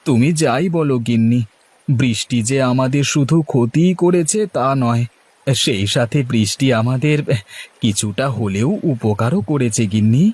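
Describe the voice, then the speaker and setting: A man's voice: mid-range voice, moderate pace, darkish tone, slightly rough, close to an everyday voice. A man voicing a cheerful, humble village husband in a cartoon, with a jovial, exclaiming manner. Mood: content. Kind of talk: cartoon dialogue.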